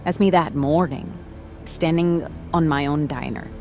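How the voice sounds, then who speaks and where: A woman playing a world-weary, foul-mouthed, sardonic diner manager in a horror comedy audio drama, with halting delivery. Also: sits low. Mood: weary, wry. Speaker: lead voice actor. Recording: music behind the voice.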